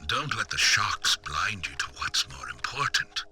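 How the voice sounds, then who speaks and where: An actor playing an eccentric, knowing businessman in a video game, dramatic and drawn-out, with a rough, breathy voice that often drops to a whisper. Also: on the low side, sing-song, bright, forceful tone, faint music behind, heavily acted. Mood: cryptic, knowing. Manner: dramatic, drawn-out, cryptic, lecturing. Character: eccentric, theatrical, knowing rich businessman informant. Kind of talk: theatrical video game voice acting.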